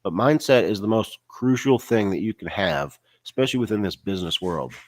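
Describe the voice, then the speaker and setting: A man's voice: fairly low pitch, lively intonation, fairly quick, darkish tone. A man with a cold, sounding stuffy and nasal, giving a casual faith-based motivational talk full of "you know" and "right?" fillers. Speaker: online business vlogger.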